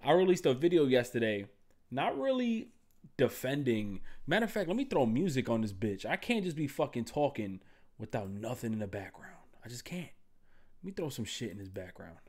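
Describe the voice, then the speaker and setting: A man's voice: medium pitch, very animated, moderate pace, darkish tone. A man live streaming from his desk mic, ranting to his chat in a loose, profane, rambling way. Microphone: streaming microphone at a desk.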